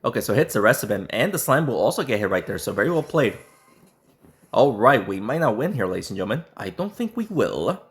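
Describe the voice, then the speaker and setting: A man with a hoarse, strained voice, sick and close to losing it. His pitch sits in the middle range. He gives casual commentary on his own game, clears his throat, and often restarts halfway through a sentence. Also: big pitch swings, fairly quick. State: sick, voice strained and nearly lost. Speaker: gaming YouTuber.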